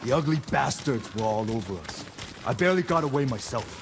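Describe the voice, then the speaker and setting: Brash ronin swordsman, mid-pitched male actor. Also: expressive, a little fast, noisy background, lightly acted. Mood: blunt urgency. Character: brash, urgent mercenary ronin leader, wry old friend. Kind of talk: video game cinematic acting.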